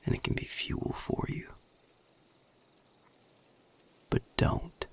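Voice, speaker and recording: A world-weary, level-headed male vampire with a Southern US drawl, acted by a man. His voice is heavily fried and very husky, with pitch that swings widely beneath the hush. He talks in measured, protective, plain-spoken phrases, as intimate audio drama addressed straight to the listener. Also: heavily acted. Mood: empathetic, firm. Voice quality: breathy, gravelly low drawl. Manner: measured, hushed, plain-spoken with deliberate pauses.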